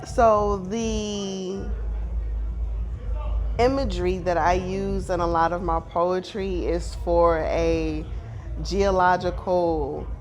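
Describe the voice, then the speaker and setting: A poet answering interview questions in a slow, measured, thoughtful way. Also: mid-range voice, steady tone, darkish tone, a little hiss or hum.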